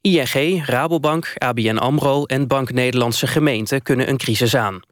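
A man's voice: medium pitch, normal speed, dry recording, studio quality. A man with a Netherlands Dutch accent reading a formal, scripted news bulletin as a radio newsreader.

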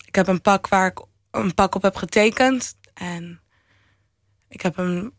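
A young woman answering interview questions off the cuff, haltingly, thinking aloud as she goes. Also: mid-range voice, no echo, clean studio sound. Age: young adult. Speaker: interview guest, fashion designer.

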